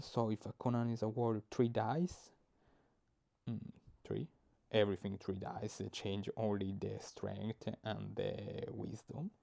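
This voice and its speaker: Man, Italian accent, young adult, slow halting delivery, lowish voice, explaining game rules. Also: gravelly, dark tone. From Italy. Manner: halting, searching for words, many fillers. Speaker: board game reviewer.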